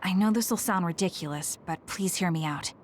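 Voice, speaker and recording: An actress playing a composed, blunt young soldier in an English game dub, with a measured, matter-of-fact delivery. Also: female voice, fairly low pitch, slight background noise, close to an everyday voice. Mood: apologetic, earnest.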